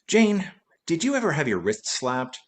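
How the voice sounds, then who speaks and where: A man's voice: on the high side, wildly swooping pitch, normal speed, background noise. A man playing a wry, wisecracking, tipsy former detective in a vintage-style radio play. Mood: wry, casual. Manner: wisecracking, teasing.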